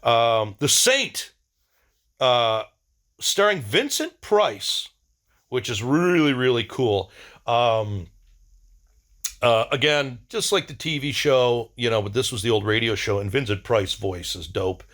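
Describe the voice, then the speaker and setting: A man's voice: medium pitch, lively intonation, slow, fairly bright tone. A man giving a casual, rambling diary-style monologue, enthusiastic and informal, with some slang. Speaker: video diary host.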